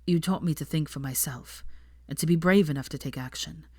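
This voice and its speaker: A nervous young man, voiced by a woman reading a story, his words soft and halting. He speaks quietly and slowly with a guarded, hesitant manner. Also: low-pitched, no echo, clean studio sound.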